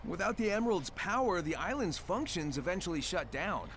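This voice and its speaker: A man's voice: high voice, big pitch swings, fairly quick, fairly bright tone, a little hiss or hum, exaggerated voice. A man voicing an easygoing, curious, cocky young hedgehog hero in a video game, with casual, breezy delivery. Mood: thoughtful. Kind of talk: scripted video game cutscene acting. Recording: music under the voice. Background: game music.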